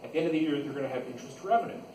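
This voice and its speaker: A man's voice: mid-range voice, rapid-fire. A man giving an informal classroom lecture as an instructor, explaining step by step and restating key points. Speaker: accounting instructor. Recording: echoey, noisy room, distant microphone.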